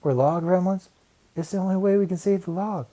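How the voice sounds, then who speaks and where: A man's voice: high-pitched, fairly quick, warm tone, pitched above the performer's own voice. A man voicing an earnest, bossy young schoolgirl with emphatic delivery in a children's storybook reading. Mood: urgent, eager. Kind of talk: children's storybook read-aloud.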